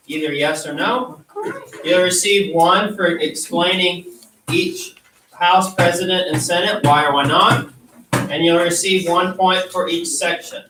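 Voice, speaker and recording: High-voiced male teacher in a noisy room. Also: slow, bright, forceful tone. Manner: explanatory, repeats instructions, checks with "okay?". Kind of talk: classroom instruction, running a civics quiz. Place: classroom. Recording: roomy, distant mic, noisy classroom.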